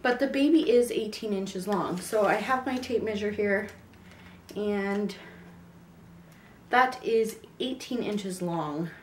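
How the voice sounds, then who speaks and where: A woman's voice: mid-range voice, slow pace, long pauses, slight background noise. A woman in her twenties with a raw, sore throat from a cold, chatting casually in a pregnancy update vlog with many ums. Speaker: vlogger. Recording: echoey room, mic at a distance.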